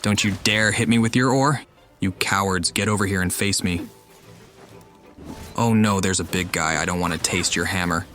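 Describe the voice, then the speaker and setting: Low male let's-player, casual wry reactions. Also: moderate pace, a bit bright. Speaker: game streamer. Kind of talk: casual let's-play commentary. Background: game music.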